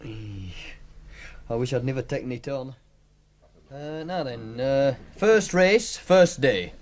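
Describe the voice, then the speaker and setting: A man's voice: medium pitch, animated, takes it slowly, slight background noise. A man with a Northern English accent acting a worried, hesitant working-class punter in a naturalistic radio drama. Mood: rueful, explaining.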